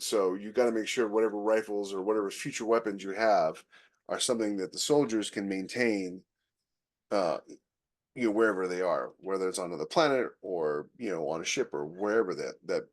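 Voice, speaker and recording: A man co-hosting a podcast in loose, casual conversation. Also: on the low side. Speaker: podcast co-host.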